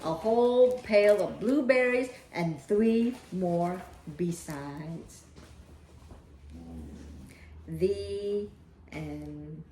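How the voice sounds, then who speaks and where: A woman reading and talking to children as a storyteller, with deliberate, emphatic phrasing. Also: medium pitch, mellow, slight background noise. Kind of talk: children's read-aloud storytelling. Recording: echoey, roomy sound.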